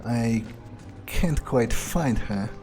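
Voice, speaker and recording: Game playthrough role: a man acting an amused, callous vampire thinking aloud. Slow, hesitant delivery, relaxed tone. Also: medium pitch, soft background music. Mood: musing. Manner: musing, hesitant, darkly amused. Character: amused, callous male vampire musing to himself. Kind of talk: in-character game playthrough commentary.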